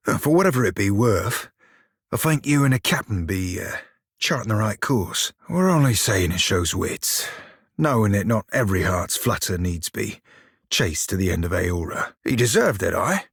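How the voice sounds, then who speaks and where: Here is a small furry pirate from a video game, raspy and very animated in pitch. He talks in salty, crude sailor dialect with a cheeky, bantering manner. The sound is dry and studio-tight. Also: no room sound. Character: cheeky, foul-mouthed small furry blue pirate man. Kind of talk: video game character voice lines.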